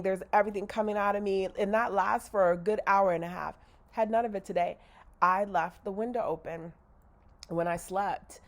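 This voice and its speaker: A woman with a slightly nasal, congested voice, rambling candidly in a casual video diary and correcting herself as she goes. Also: lowish voice. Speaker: vlogger.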